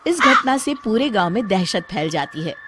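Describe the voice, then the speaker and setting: Lively woman story narrator. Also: mid-range voice, moderate pace. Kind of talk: scripted children's story narration. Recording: clearly noisy background.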